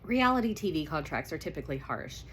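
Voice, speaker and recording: A woman's voice: sits low, sing-song, fast, a bit bright, a little hiss or hum. A woman with a cold voicing an anxious, stubborn jilted bride in a romance novel reading. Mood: frustrated. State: congested with a cold.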